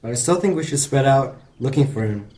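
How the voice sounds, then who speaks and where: A woman's voice: rumbling low, expressive, fairly quick, mellow. A woman playing a blunt, level-headed young friend in an audio drama, frank and casual. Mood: practical.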